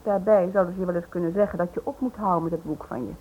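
A woman's voice: fairly low pitch, very fast, slight background noise, natural voice. Sardonic loyal wife played by a woman, dull recording. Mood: sarcastic, worried. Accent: Dutch. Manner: dry, sarcastic. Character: loyal, sardonic wife, worried yet stubborn. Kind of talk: radio play acting. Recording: dull old radio play recording.

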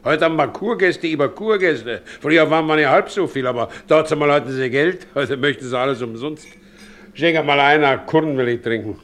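An actor playing a gruff, stubborn village husband in a German radio play, blunt and earthy, speaking in dialect. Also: male voice, on the high side, a little fast, fairly bright tone, lightly acted. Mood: grumbling.

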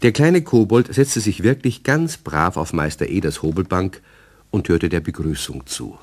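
A radio-play storyteller with a low voice and a lively melody. He narrates a children's tale in an expressive, scripted style. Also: normal speed. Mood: storytelling.

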